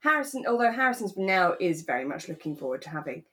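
Woman in relaxed, chatty conversation. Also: on the low side, fast, slight background noise. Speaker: podcast co-host. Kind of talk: relaxed casual chat recapping a radio drama.

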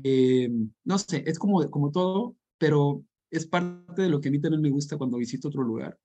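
A Mexican man who lives in northern England, chatting thoughtfully as a podcast guest with lots of pauses and fillers. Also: fairly high pitch, dark tone. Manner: thoughtful, hesitant, many fillers. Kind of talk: casual conversational chat.

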